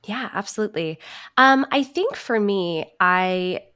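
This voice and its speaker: A woman's voice: mid-range voice, animated, a little slow, pushed and bright, clean tone. A woman answering interview questions conversationally as a podcast guest. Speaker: podcast guest, actor and writer.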